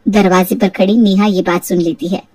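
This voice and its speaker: A woman delivers scripted story narration. Her telling is expressive and dramatic, and the recording is very dry, without any room echo. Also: medium pitch, normal speed, slightly rough, distant mic.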